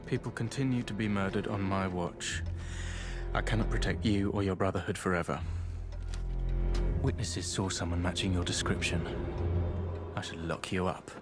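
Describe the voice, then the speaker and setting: An actor playing a stern, weary, grave police inspector in a video game drama. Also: male voice, low-pitched, fairly even intonation, normal speed, over music, close to an everyday voice. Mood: stern concern.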